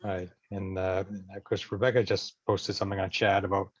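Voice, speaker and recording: Low-voiced, hesitant man chairing a meeting. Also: little pitch movement, moderate pace, no room sound. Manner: hesitant, searching for words. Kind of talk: informal meeting moderation. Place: online video meeting. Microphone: video call. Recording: dull, dry video-call audio.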